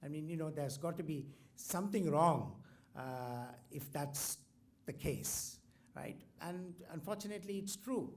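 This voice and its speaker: A man's voice: a little high, animated, measured pace, a little mellow. A man from India, a native Tamil speaker, introducing a commencement lecturer in a formal speech full of ums and restarts. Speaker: university host introducing a lecturer. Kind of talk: formal speech with many fillers.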